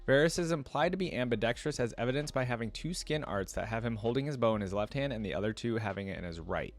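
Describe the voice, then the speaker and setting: A man's voice: medium pitch, expressive, quick pace. A man reacting to game lore, reading wiki text aloud and musing casually with lots of fillers. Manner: reads lore aloud, then muses with many fillers.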